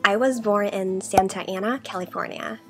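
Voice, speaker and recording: A woman in her twenties from Taiwan, whose first language is Mandarin Chinese, answering questions about herself in a soft-spoken vlog. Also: mid-range voice, moderate pace, soft background music. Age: 20s. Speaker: vlogger. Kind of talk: get-to-know-me Q&A vlog. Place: bedroom with shelves, indoors. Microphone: desk condenser mic in front.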